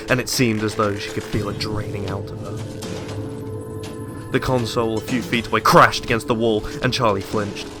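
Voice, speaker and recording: A man giving a dramatic, deliberate audiobook narration of a suspenseful horror story. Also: fairly low pitch. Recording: music under the voice.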